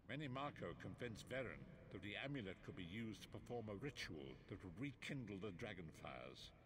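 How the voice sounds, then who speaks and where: An actor playing an old blind prophet who narrates in a grave, theatrical manner for a fantasy game. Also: male voice, on the low side, big pitch swings, normal speed. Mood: grave, instructive. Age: older. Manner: solemn, theatrical storytelling. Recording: noisy game capture, ambient sound behind.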